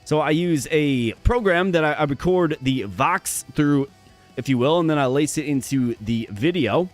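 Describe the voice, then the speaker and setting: Brief: upbeat male game streamer, slightly high voice, worn-sounding, occasional stutter, soft music behind. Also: animated, normal speed. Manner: upbeat, chatty, addresses viewers directly; stutters sometimes, repeats words. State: strained, worn voice. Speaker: gaming streamer. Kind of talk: casual live-stream commentary.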